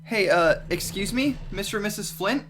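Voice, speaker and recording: A man's voice: high-pitched, expressive, normal speed, a bit bright. A man playing a puzzled, sardonic homebody in an amateur radio drama, with an informal manner and some noise on the recording. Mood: hesitant, casual.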